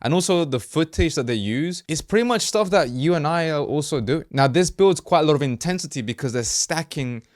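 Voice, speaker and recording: A man from Colombia whose first language is Spanish, hosting a casual explainer and breaking things down conversationally, with quick mock-voiced asides. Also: a little high, expressive, no room sound, studio quality. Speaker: host, ad creative marketer. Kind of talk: casual explainer talk to camera.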